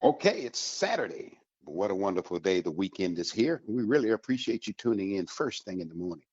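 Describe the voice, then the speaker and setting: A man hosting a radio program, giving announcements in a deliberate, emphatic way with a husky voice. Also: fairly low pitch, very animated, darkish tone, no echo. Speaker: radio host. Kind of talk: promotional radio talk with announcements. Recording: dull lo-fi radio recording.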